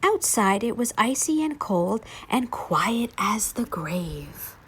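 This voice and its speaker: A woman reading spooky folk tales aloud as a story time reader, with dramatic, deliberate delivery. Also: medium pitch, big pitch swings, a bit bright, breathy edge.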